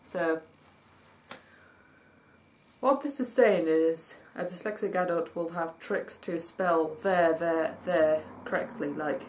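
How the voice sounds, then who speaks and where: Slow-talking woman with a husky voice. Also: lowish voice, a little mellow, rough recording. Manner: rambling, chatty, laughs at herself, spells words out letter by letter. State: husky voice, not warmed up. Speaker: vlogger. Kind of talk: casual vlog, reading points from a list and commenting. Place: at home by day, with traffic outside. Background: faint traffic.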